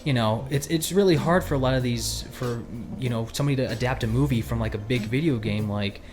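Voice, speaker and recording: A man with an average-pitched voice hosts a loose, casual podcast chat. He rambles and rewords himself as he goes, with music clearly audible behind him. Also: fairly quick. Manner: rambling, circles back and rephrases. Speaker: podcast host.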